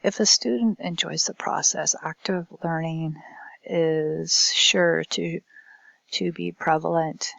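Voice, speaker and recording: Woman, language instructor, halting presentation delivery, creaky voice, dry close sound. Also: sits low, steady tone, fairly bright tone, dry recording, highs cut off. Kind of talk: recorded academic presentation.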